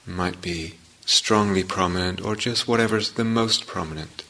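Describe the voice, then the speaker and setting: A man leading a guided meditation as a teacher, speaking gently and in measured short phrases with long pauses. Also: sits low.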